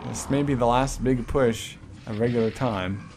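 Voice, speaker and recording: A man's voice: medium pitch, steady tone, moderate pace, darkish tone, a little hiss or hum. A man giving casual, joking play-by-play commentary on an online game match, swearing freely. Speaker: esports commentator.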